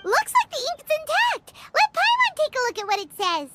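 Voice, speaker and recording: A woman voicing a small, excitable, childlike fairy companion with a squeaky voice in an anime game. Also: moderate pace, exaggerated voice. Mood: curious. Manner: refers to self in third person, exclamatory. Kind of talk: anime game character acting. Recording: loud background music.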